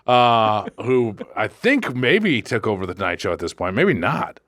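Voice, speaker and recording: Animated, rambling man telling stories. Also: lowish voice, measured pace, no room sound, studio quality. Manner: rambling storyteller, swears freely, animated quoting. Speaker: podcast co-host. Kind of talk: casual comedic chat.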